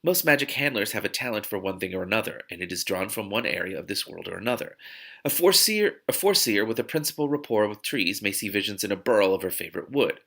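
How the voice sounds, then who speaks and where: A man voicing a wise female magic mentor in storybook dialogue, speaking formally and deliberately as he explains. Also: lowish voice, animated, vocal fry, fairly bright tone, full character voice. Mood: explanatory. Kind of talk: audiobook-style character dialogue.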